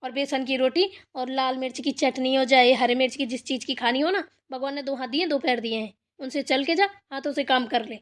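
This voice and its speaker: A woman acts the stern matriarch of a cartoon village household. Her voice is high for an older woman. She scolds bluntly, hands out orders and threatens anyone who disobeys. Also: fairly even intonation, a little fast, few pauses, natural voice. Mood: scolding. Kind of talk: cartoon family drama dialogue.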